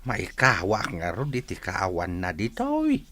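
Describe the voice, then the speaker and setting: Fond old Ilocano grandpa in radio comedy: bright, slow, exclamatory drawl. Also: male voice, sits low, wildly swooping pitch, slight background noise, heavily acted. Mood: fond, wistful. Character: affectionate old village grandfather, excitable. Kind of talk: Filipino Ilocano radio comedy drama acting.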